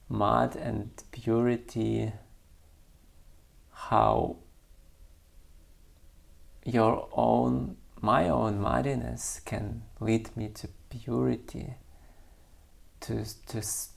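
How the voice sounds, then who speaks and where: Slow gravelly man, non-native English. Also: on the low side, little pitch movement. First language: not English. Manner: slow, searching, hesitant with many pauses. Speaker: poet and channel creator. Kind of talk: reading own poem, then reflective monologue.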